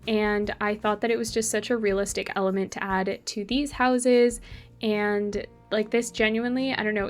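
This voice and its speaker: Young woman from Argentina hosting a gaming channel; a bit high-pitched, loose and chatty with lots of ums, soft music bed behind. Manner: chatty, rambling, many fillers and self-corrections. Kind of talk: casual build commentary over gameplay.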